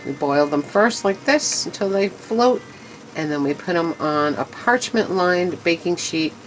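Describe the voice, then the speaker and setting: Low-voiced woman chatting through a home cooking lesson. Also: animated, measured pace, soft background music, rough recording. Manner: chatty, enthusiastic, addresses viewers as guys. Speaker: home cooking show host. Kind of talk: casual cooking demonstration. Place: home kitchen at the stove.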